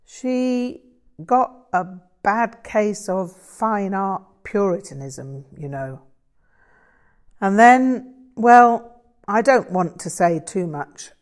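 A modest English musician and painter from a novel , voiced by a woman reader. He explains himself wryly and thoughtfully, always playing himself down, at a measured pace. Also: mid-range voice, darkish tone, voice pushed up. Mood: reluctant, wry. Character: Vic, self-deprecating English bluesman and painter, wry, thoughtful.

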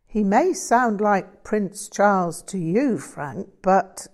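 A woman's voice: mid-range voice, expressive, takes it slowly, darkish tone, pitched above the performer's own voice. A woman voicing a sociable, curious female friend in an audiobook story, teasing and opinionated. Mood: sceptical.

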